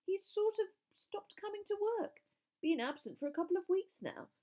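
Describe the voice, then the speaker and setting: A woman's voice: very high-pitched, animated, moderate pace, dark tone, pitched above the performer's own voice. A woman voicing a jovial but nervous, wheedling middle-aged man, a sports official in a storybook, with a hesitant, chummy, blustering manner. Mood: nervous, evasive.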